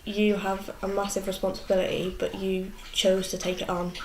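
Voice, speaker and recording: A woman's voice: mid-range voice, completely flat delivery, moderate pace, a little mellow. A 20-year-old woman talking candidly in a documentary interview, in an informal, plain-spoken way. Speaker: young mother being interviewed. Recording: echoey room with faint background noise.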